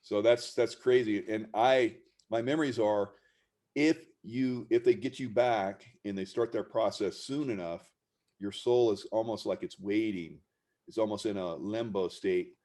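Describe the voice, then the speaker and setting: Deep-voiced male interview host. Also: a little slow. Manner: relaxed, affirming, thoughtful reflection. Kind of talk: casual interview talk.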